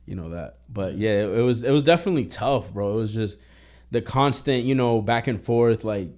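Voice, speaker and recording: Fast-talking young man, candid. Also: fairly low pitch, animated, a little mellow. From Boston. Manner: streetwise, candid, heavy swearing. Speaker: podcast guest. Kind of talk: casual podcast conversation.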